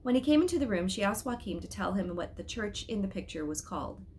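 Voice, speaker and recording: A woman reading a children's story aloud as an expressive storyteller, with dramatic, emphatic phrasing. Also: medium pitch, quick pace, a little hiss or hum.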